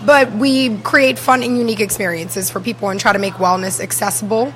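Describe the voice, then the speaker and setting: A woman with a raspy voice, a traffic reporter chatting as a radio show guest in an easygoing, modest way. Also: medium pitch, fairly even intonation, brisk, a bit bright, rough recording. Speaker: traffic reporter and wellness business owner. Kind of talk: casual guest chat.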